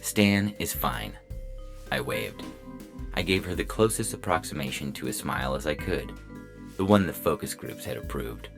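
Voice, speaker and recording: A man voicing a polite, officious robot lawman with a deadpan, clipped delivery in a science fiction audio story. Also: very deep voice, moderate pace, vocal fry, heavily acted. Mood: politely detached. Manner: deadpan, clipped, matter-of-fact. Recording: music bed under the voice.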